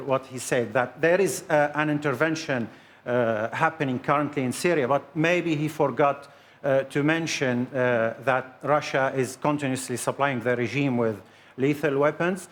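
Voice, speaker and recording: A man speaking as a guest in a live studio debate, measured and full of hesitant pauses. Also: medium pitch. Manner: measured, many hesitant fillers. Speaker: panel guest, Syrian opposition member.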